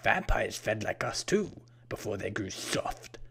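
Storybook monster, acted by a male narrator: haughty male vampire-like killer, breathy and husky, lowish, oddly deliberate delivery. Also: big pitch swings, sharp tone, full character voice. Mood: smug, lecturing. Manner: odd, deliberate way of talking with drawn-out phrases. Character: menacing, haughty purple-skinned vampire-like killer, male, unhurried.

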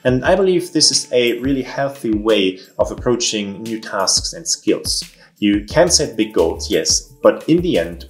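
A young man whose first language is German, talking through a casual vlog in an earnest way with dry ironic asides, recorded at his desk in a home office. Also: on the low side. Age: young adult. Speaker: vlogger and social auditor. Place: home office room, indoors.

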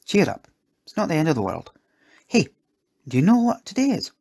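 A man voicing a kindly, motherly woman cook in a storybook, with a gentle, homely, fussing manner. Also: fairly high pitch, huge pitch swings, brisk, vocal fry, breathy edge, voice pushed up, exaggerated voice. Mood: cheerful, reassuring.

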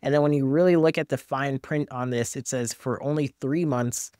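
Clear, dry-sounding man reviewing tech. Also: mid-range voice, fairly even intonation, normal speed, a little mellow, no room sound. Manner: conversational, candid, addresses viewers directly. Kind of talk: casual screen-share review commentary. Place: small home office or studio, indoors. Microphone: desktop microphone close in front.

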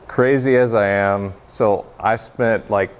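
A young man giving an informal technical demo to a room, speaking haltingly with frequent pauses. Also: fairly low pitch, steady tone, clean tone. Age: young adult. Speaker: presenter giving a software demo. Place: meeting room, standing by projection screen. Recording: noisy, muffled room recording.

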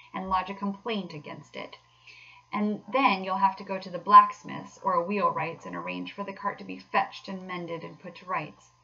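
A woman voicing a practical, exasperated male water rat in a storybook, speaking in a brisk, firm, no-nonsense way. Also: on the low side, gravelly, pushed and bright, dull audio.

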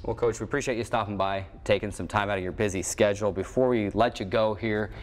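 A man's voice: fairly low pitch, steady tone, quick pace. A man in his twenties with an American accent, hosting and interviewing in a sports broadcast style. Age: 20s. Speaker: sports show host. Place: small indoor locker-style studio set.

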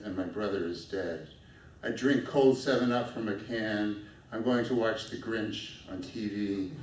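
A middle-aged man reading his own poem aloud at a lectern on a small dark stage, in a steady, measured way. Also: lowish voice. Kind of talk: poetry reading. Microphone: stand mic at a lectern, filmed from far off. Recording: echoey room from far off, faint noise.

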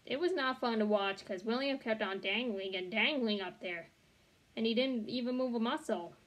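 A young adult woman reads a children's story aloud in a fairly high voice. She is expressive, and now and then she trips on a word and corrects herself. She sits in a small bedroom, speaking into a device at arm's length. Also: moderate pace, poor audio. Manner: expressive reading with occasional stumbles and self-corrections. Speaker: read-along storyteller. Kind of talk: reading a children's chapter book aloud.

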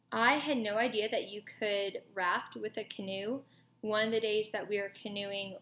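Even-toned young American woman, casual. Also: mid-range voice, slight background noise. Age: 20s. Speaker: student giving a course reflection. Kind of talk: casual spoken reflection to camera. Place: small furnished room indoors.

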